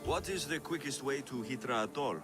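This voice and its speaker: Sardonic mercenary hero, video game, male actor: mid-pitched, lively intonation, dry confidence, music underneath. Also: fairly quick, close to an everyday voice. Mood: businesslike. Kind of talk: video game dialogue acting.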